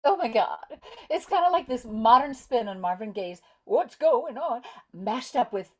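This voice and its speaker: A woman's voice: fairly high pitch, lively intonation, measured pace. A woman playing a playful, shy young woman in love in a table read of a stage play. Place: remote video call.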